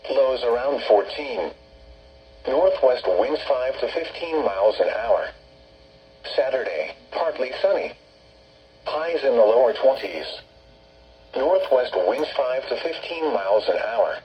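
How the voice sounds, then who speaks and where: A synthetic text-to-speech man's voice reading a scripted weather forecast over radio in a formal, segmented, flat manner. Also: mid-range voice, takes it slowly, pauses often. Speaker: automated weather radio announcer. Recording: muffled, noisy weather radio broadcast with hum.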